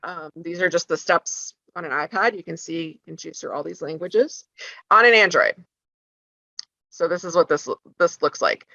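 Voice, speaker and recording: A woman's voice: lowish voice, moderate pace, bright, forceful tone, dry recording. A woman teaching parents how to use school apps, talking casually with frequent ums and restarts. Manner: conversational, many ums and restarts. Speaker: school tech trainer for parents. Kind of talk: instructional walkthrough for parents. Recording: slightly dull lo-fi sound.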